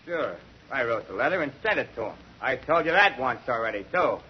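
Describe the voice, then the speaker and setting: A man playing a sullen, defiant gangster in an old radio drama, with a surly, curt delivery and husky voice. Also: mid-range voice, sing-song, brisk. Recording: muffled vintage radio recording.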